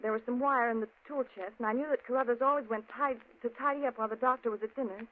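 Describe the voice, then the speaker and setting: A woman's voice: fairly high pitch, steady tone, racing, close to an everyday voice. An actress playing a young woman who confesses to a killing, in 1940s radio drama style. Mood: confessing. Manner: soft pleading, turns tearful. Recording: tinny old radio broadcast.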